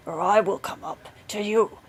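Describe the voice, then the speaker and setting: A woman's voice: fairly low pitch, a little slow, husky, pitched below the performer's own voice, heavily acted. A woman voicing a merciless, menacing husband in a storybook tale, with stern, commanding threats. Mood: bellowing impatience. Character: menacing, merciless murderous husband with a great saber. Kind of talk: storybook character voice.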